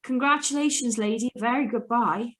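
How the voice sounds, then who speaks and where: A woman acts an auction house helper in a radio play, pitched high. The character is brisk and evasive, placating customers with a hustler's patter. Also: fairly bright tone, close to an everyday voice. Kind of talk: radio drama script reading. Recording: live video-call recording.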